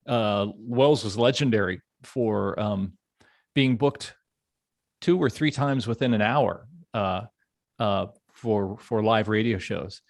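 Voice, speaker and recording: A man hosting a podcast, telling anecdotes conversationally in halting, measured phrases. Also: on the low side, gravelly, no echo, clean studio sound. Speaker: podcast host.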